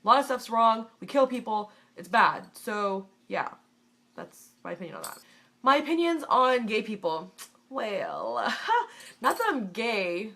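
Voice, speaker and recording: A young American woman in her twenties with a stuffed-up, congested voice, sitting a touch high in pitch. She speaks her mind bluntly in a casual vlog, swearing freely as she goes. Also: big pitch swings, unhurried, bright, forceful tone. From United States. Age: 20s. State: congested from a cold. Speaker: vlogger.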